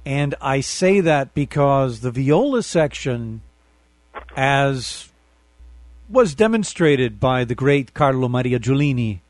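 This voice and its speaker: A man's voice: medium pitch, no room sound. A man hosting a community radio interview, a conductor who talks in long reflective asides. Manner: rambling, reflective, warm asides.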